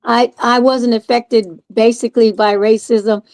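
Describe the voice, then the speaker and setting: Higher-voiced earnest woman, dry sound. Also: steady tone, unhurried, darkish tone, no room sound. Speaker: panelist. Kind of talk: casual panel discussion.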